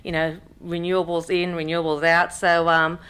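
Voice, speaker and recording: Woman, 30s, slightly low voice, unscripted conference talk with many ums. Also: normal speed, clear, resonant tone. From Pakistan. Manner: relaxed, frequent ums, restarts sentences. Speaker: workshop speaker. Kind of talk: business workshop talk. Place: conference room with audience.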